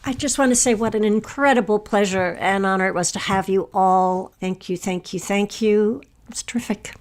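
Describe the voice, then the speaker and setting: A woman's voice: mid-range voice, normal speed. A woman hosting and moderating a discussion on an audio drama show. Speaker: host and moderator.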